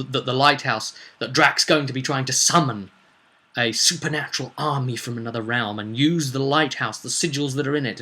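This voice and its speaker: A man recounting a tabletop game session in an animated, emphatic way, throwing in rhetorical questions like a game master telling a tale. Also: medium pitch. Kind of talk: animated vlog storytelling.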